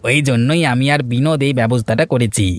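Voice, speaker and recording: A man's voice: mid-range voice, fast talker, lightly acted. A man voicing a friendly, eager village man in a cartoon, chatty and helpful. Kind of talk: cartoon voice acting.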